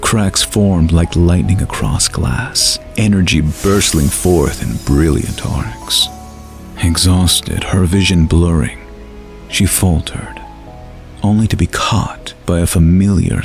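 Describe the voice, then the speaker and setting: A man narrating a scripted fantasy story in a measured, dramatic storytelling manner. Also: deep voice, gravelly, soft background music.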